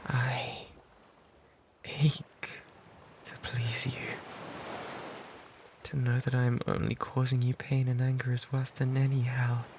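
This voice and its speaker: A man's voice: mid-range voice, monotone, takes it slowly, pauses often. A British man playing an obsessive, lovesick pirate in a romantic ASMR roleplay, pleading fervently and breathily, close to a binaural microphone. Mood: tender, aching. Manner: fervent, breathy pleading that often drops toward whispers. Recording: noticeable background noise and ambience.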